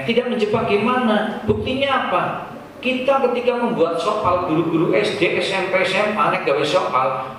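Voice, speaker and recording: High-pitched Javanese-accented man in an echoing hall. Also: fairly bright tone. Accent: Banyumasan Javanese. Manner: didactic, asks rhetorical questions, mixes in colloquial Javanese. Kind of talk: informal public address to teachers. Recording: echoey room from far off, faint noise.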